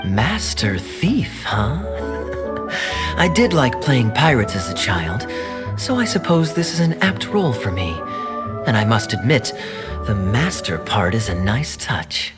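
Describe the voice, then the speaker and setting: A man's voice: medium pitch, very animated, takes it slowly. A man voicing a suave, playful adult man with a wry, amused manner in a game cutscene. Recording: game audio under music.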